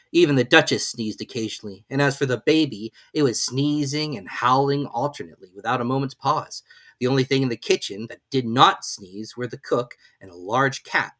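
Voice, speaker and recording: Very low-voiced woman reading a storybook. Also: fairly bright tone. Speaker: audiobook reader. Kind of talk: expressive storybook narration.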